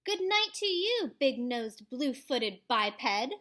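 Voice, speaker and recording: A woman voicing an excitable, imaginative child in a storybook, calling out exuberantly. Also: sits high, slow, exaggerated voice. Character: excitable, imaginative child narrator shouting goodnights on adventure.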